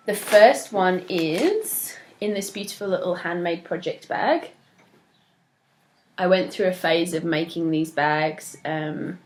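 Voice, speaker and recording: A woman's voice: on the low side, slight background noise. Chatty young woman, slightly stuffed up. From moved to Australia from elsewhere. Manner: chatty, warm-hearted, many ums and soft laughs. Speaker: knitting podcast host. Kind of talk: casual solo chat to camera.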